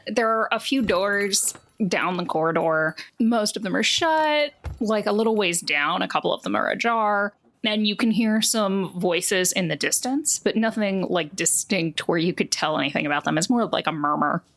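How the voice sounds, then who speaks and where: A woman's voice: mid-range voice, expressive, normal speed, fairly bright tone. A woman runs a tabletop game in a mid-range voice. She improvises scene descriptions conversationally, dropping in casual asides as she goes. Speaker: game master.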